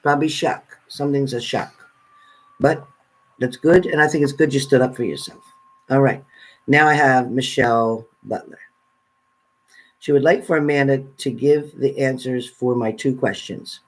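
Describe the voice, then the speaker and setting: A man's voice: medium pitch, moderate pace, rough recording. A man hosting a casual live question-and-answer stream, giving spirit readings and chatting with viewers.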